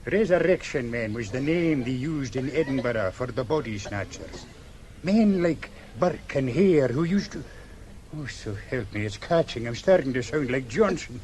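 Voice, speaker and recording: A man's voice: medium pitch, lively intonation, normal speed, a little mellow, slight background noise, lightly acted. An actor playing a weary, grumbling senior policeman with a dry manner, in radio drama style.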